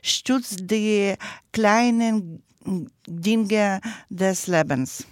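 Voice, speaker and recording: A woman speaks in a very slow, careful way, stopping often to find the next word. Her voice sits at an ordinary middle pitch and sounds close and dry, with no room around it. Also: dry recording. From Ukraine. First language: not German. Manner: hesitant, searching for words, many pauses. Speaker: guest, project participant. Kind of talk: interview, halting learner's German.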